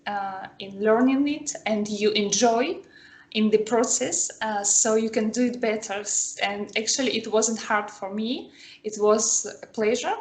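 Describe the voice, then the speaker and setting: A woman's voice: fairly high pitch, reverberant. A woman whose first language is Spanish, a student in an online lesson, talking hesitantly with many fillers. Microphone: video call.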